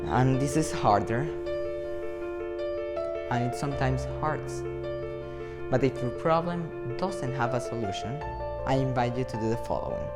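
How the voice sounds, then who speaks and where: A man in his twenties with a Venezuelan accent, a motivational speaker talking to camera in a playful, animated way and acting out imagined dialogue. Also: mid-range voice, measured pace, pauses often, over loud music. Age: 20s. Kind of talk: talk to camera.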